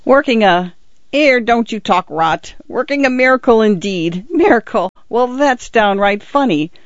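A man's voice: high voice, animated, a little slow, no room sound, pitched above the performer's own voice. Male reader playing a scornful, blustering constable. Raised voice, dry and muffled recording. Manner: dropped aitches, bullying, scornful. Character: gruff male village constable, blustering and suspicious.

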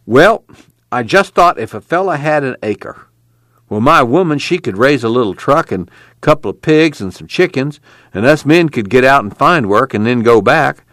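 A man's voice: medium pitch, expressive, moderate pace, fairly bright tone, no room sound, clean studio sound, pitched above the performer's own voice, lightly acted. A man voicing an anxious, poor middle-aged farming father with a pleading, folksy rural drawl.

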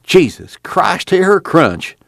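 Man playing a gruff hungry young guy, blunt, impatient. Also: high voice, big pitch swings, slow pace, fairly bright tone, dry recording, studio quality, voice pushed up, heavily acted.

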